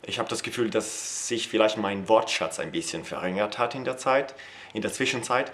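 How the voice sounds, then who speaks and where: An Italian man with a somewhat low voice talks to camera in a halting, unhurried way. He pauses often and fills the gaps with "uh" and "um", restarting his sentences. He sounds like a polyglot language teacher recording a personal video. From Italy. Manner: hesitant, many fillers and restarts, with soft laughs. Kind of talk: casual talk to camera.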